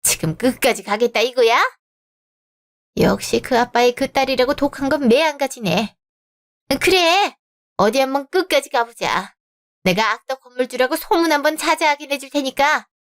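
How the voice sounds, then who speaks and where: A woman's voice: high-pitched, lively intonation, moderate pace. A woman voicing a scheming middle-aged mother-in-law who talks down haughtily and later begs, in a radio drama. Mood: haughty. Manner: haughty, later pleading and begging. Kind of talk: Korean radio drama acting.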